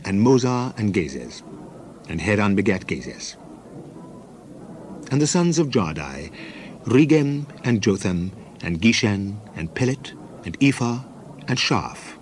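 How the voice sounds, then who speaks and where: A man narrating scripture in a measured, solemn, declamatory way, as in a dramatized Bible reading. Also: on the low side, slight background noise.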